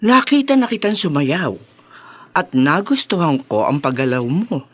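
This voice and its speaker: Higher-voiced, coaxing, confident boss. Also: male voice, big pitch swings, unhurried, dry recording. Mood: reassuring, confident. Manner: reassuring, smooth-talking. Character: assured, coaxing older boss recruiting a young dancer. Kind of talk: radio drama acting.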